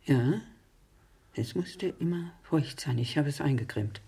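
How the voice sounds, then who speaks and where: Mournful aunt in a radio drama, raspy smoky low voice, halting, slow as anything. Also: female voice, expressive, heavily acted. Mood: grieving, hushed. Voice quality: husky, low, breathy. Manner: halting, hushed, dwelling on words. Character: grieving, smoking aunt remembering a deathbed. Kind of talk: intimate radio drama monologue.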